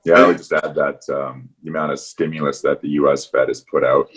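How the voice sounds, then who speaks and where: Very deep, husky male call host. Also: flat delivery, a little fast, a bit bright. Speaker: portfolio manager hosting the call. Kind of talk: semi-formal conference call hosting. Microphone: conference call.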